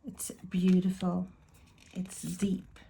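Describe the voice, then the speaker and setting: A woman from Ireland with a Swedish-tinged accent, chatting casually in a slow, thoughtful, meandering way as she reviews tarot and oracle decks at home. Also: on the low side, monotone, creaky, dark tone, slightly rough. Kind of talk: casual deck review chat.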